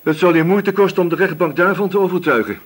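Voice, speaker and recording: An actor playing a stern, relentless, sardonic police inspector who questions insistently in a radio play. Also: male voice, high-pitched, quick pace. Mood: accusing, forceful. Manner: probing, insistent, threatening. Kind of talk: Dutch radio play dialogue.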